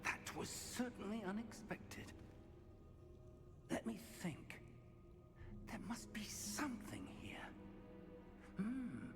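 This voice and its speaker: Lively wizard professor, music behind. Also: male voice, sits high, vocal fry, whispered, heavily acted. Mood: puzzled. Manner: measured, refined, thoughtful. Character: composed, inquisitive male professor wizard mentor. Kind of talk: video game character acting.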